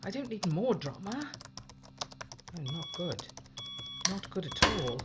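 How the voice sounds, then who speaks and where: A woman's voice: mid-range voice, very animated, slow, noisy background. A woman playing a tense, weary spaceship captain with a wry, stubborn streak in an audio drama. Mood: exasperated, muttering. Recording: dull band-limited audio with music underneath.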